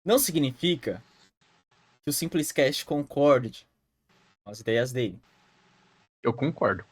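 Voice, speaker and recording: A man's voice: mid-range voice, expressive, unhurried, slightly rough, no echo. A man hosting a podcast, chatting casually with slang and nervous jokes. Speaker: podcast host.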